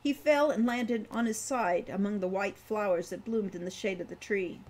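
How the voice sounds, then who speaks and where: An author in her sixties reads her fantasy story aloud. She is a woman with a slightly husky, mid-pitched voice and a careful, measured delivery. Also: darkish tone, rough recording. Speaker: author reading her novel. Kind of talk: audiobook-style story narration. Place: room at home.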